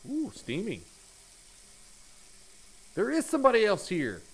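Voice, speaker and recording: A man's voice: sits high, huge pitch swings, a little slow, slightly rough. A man in his thirties giving crude, playful, exaggerated joking commentary as he streams a horror game.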